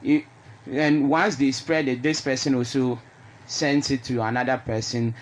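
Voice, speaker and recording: A man's voice: medium pitch, fairly even intonation, normal speed. A man with a Ghanaian accent speaking emphatically and explaining his views in a conversational panel discussion. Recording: noticeable background noise.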